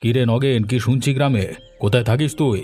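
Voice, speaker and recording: A man's voice: mid-range voice, quick pace, a little mellow, slightly rough, close to an everyday voice. A man voicing a stern village headman in a cartoon, scolding in a scornful, commanding way. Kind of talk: cartoon dialogue. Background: music.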